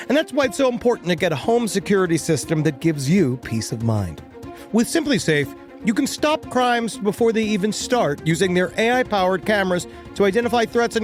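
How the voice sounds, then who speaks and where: A podcast host reading sponsor ads in a playful, jokey manner with silly tangents. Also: male voice, high-pitched. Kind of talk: playful ad read.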